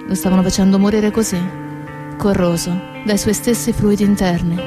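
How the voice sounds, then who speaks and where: A woman performs a daughter mourning her father in a stage drama. Her mid-range voice is bitter and emphatic, broken by deliberate pauses, with guitar music underneath. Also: medium pitch, level tone, close to an everyday voice. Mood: grieving, bitter. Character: grieving, bitter daughter of a dead factory worker. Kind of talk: theatrical monologue over guitar. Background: acoustic guitar music.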